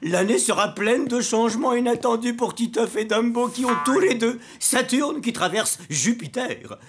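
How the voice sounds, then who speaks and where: A woman's voice: fairly high pitch, lively intonation, normal speed, slight background noise, lightly acted. A woman voicing a solemn child astrologer in a cartoon, pronouncing horoscopes pompously. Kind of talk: French animated series dialogue.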